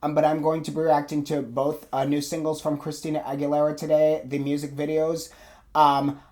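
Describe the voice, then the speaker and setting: Hoarse man with a cold, chatting. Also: a little high, completely flat delivery, a little fast, darkish tone. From United States. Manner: chatty, many fillers, apologetic and eager. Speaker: music reaction video host. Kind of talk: casual chat to camera.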